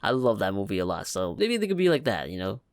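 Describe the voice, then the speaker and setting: A man's voice: on the low side, huge pitch swings, fast talker, clean tone, no echo, clean studio sound. A young man giving casual let's play commentary in a joking, slangy, informal way. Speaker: gaming reaction YouTuber.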